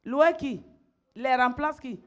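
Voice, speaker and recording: A woman's voice: high voice, slow pace, highs cut off. A middle-aged woman pastor, preaching emphatically, repeating phrases and calling on her listeners to respond. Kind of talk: sermon.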